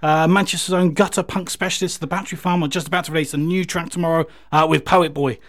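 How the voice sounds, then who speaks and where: Male radio presenter, slightly high voice, chatty and effusively enthusiastic, informal music-show links. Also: steady tone, fast talker, fairly bright tone. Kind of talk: casual radio link between songs.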